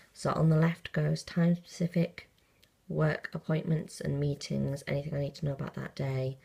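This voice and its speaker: Croaky low-voiced woman. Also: darkish tone. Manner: chatty, friendly, flips pages while explaining. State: croaky morning voice. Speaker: planner vlogger. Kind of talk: casual show-and-tell walkthrough. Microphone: handheld phone.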